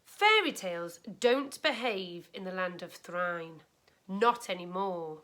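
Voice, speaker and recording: A woman teacher reading a storybook aloud to a class of children, expressively and with deliberate, explanatory care. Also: fairly low pitch, lively intonation, fairly bright tone. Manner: clear, deliberate explaining to young listeners.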